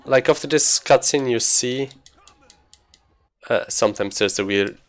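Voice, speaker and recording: A man giving casual live commentary on his own video game run, thinking aloud in a halting way. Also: mid-range voice. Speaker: speedrunner commentating own gameplay.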